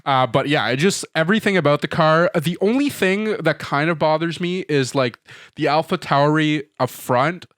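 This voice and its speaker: Man giving measured opinions on a podcast. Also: on the high side, a bit bright, no echo, studio quality. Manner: measured, hedging opinions with "you know" and "like". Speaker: podcast co-host. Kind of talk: casual chat.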